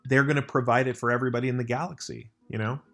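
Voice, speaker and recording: A man's voice: mid-range voice, steady tone, racing, vocal fry, a bit bright. An American man hosting a casual chat, rambling with lots of restarts and filler words. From United States.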